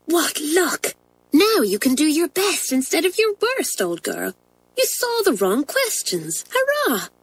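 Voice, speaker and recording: Woman doing a gushy schoolgirl twin, old-fashioned storybook type, excitable n high. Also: measured pace, heavily acted. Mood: excited whispering delight.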